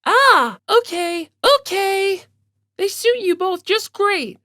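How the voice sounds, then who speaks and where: A man voicing a cheerful, peacemaking teenage girl in an audiobook, with a bright, placating manner. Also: very high-pitched, animated, takes it slowly, no room sound, studio quality, voice pushed up, exaggerated voice.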